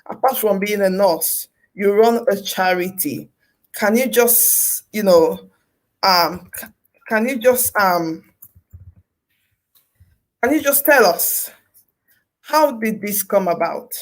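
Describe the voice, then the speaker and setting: Brief: woman interviewer, gushing and repetitive, mid-pitched. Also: lively intonation, slow pace, pauses often, poor audio. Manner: effusive, repeats thanks and phrases, many fillers. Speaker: interview host. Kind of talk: interview talk.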